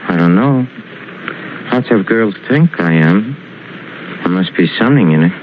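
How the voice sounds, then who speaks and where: An actor playing a brooding, menacing young killer in 1940s radio suspense style, speaking in halting, repeated phrases. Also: male voice, deep voice, animated, slight background noise. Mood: uneasy, evasive. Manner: halting, repetitive, broken phrases. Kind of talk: 1940s radio suspense acting, confessional monologue. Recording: muffled old radio recording with music.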